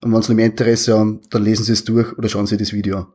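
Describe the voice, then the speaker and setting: A man with an Austrian accent, a guest who reviews games as a hobby, answering questions in a relaxed, chatty way. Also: fairly low pitch, rapid-fire, slightly rough. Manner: relaxed, laughs between sentences. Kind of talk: casual interview.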